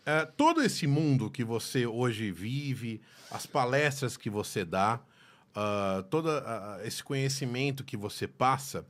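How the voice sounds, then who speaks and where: A man's voice: medium pitch, lively intonation, slow pace, fairly bright tone. A man hosting a podcast interview, teasing and playful, often stumbling and restarting his sentences. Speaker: podcast host. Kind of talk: casual interview chat.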